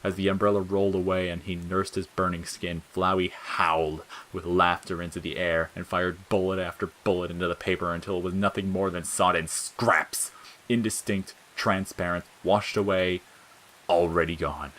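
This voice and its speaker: A man's voice: deep voice, level tone, moderate pace, a bit bright, low-quality recording. A man reading scripted fiction as a dramatic audiobook narrator.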